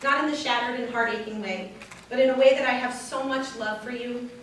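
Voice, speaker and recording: Higher-voiced woman reading in an echoey hall. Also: fairly even intonation, a little fast, fairly bright tone. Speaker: wedding reader. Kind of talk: reading love letters aloud, reader's theater. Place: large echoey room at a wedding. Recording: echoey hall, distant mic, faint noise.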